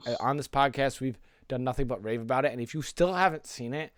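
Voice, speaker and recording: A man's voice: medium pitch, quick pace, clear, resonant tone, dry recording, studio quality. A man co-hosting a podcast in casual, informal conversation, often checking in with rhetorical questions. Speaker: podcast co-host.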